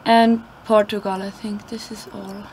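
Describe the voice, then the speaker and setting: A woman's voice: medium pitch, flat delivery, a little slow, darkish tone, slight background noise, rough recording. A young woman from Austria, an exchange student guest who sounds cheerful and agreeable. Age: young adult.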